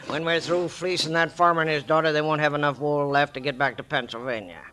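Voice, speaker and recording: A sly, scheming gambler. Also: male voice, a little high, fairly even intonation, normal speed, pushed and bright. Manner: sly, smug, contemptuous. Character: smooth, scheming frontier gambler. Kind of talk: 1940s radio acting.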